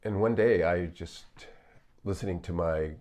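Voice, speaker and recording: This is an older man with a very deep voice, talking slowly and deliberately. He speaks as a psychologist commenting on clips, stopping often to pause and fill gaps with fillers. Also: a little mellow. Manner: slow, measured, with frequent pauses and fillers.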